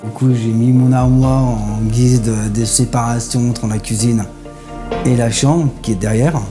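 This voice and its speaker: A man's voice: mid-range voice, steady tone, unhurried, over music. A man in his forties speaking plainly and a little hesitantly in a documentary interview. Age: 40s. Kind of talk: documentary testimony.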